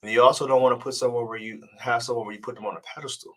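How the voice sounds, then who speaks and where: A man's voice: medium pitch, fairly even intonation, racing, fairly bright tone. A man with a congested voice from a cold, speaking casually and earnestly as a dating coach on a live stream and often repeating words as he restarts. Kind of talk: live-stream advice chat reading comments.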